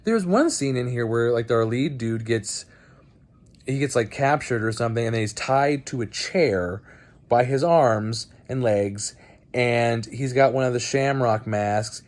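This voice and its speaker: A man with a medium-pitched voice hosts a casual movie review video. He talks bluntly and conversationally, halting as he gathers his opinion. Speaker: host of a movie night video channel.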